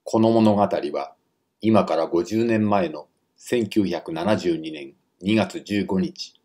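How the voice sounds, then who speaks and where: A man's voice: low voice, slow, warm tone. A man reading scripted story narration.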